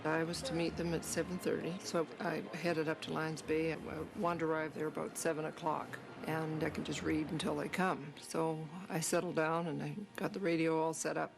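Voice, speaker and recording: A woman's voice: low voice, level tone, normal speed, gravelly, few pauses, music in the background, low-quality recording. An older woman giving a plain personal recollection as an interviewee. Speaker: interviewee recounting an event.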